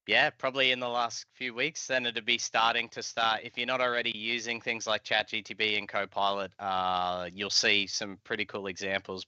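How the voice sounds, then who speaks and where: A man's voice: fairly low pitch, pushed and bright, smooth, no echo. A man in his forties with an Australian accent, giving an informal, chatty technical talk as a presenter on a video call. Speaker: guest presenter, software trainer. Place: indoor room, video call. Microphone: headset. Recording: slightly dull headset audio.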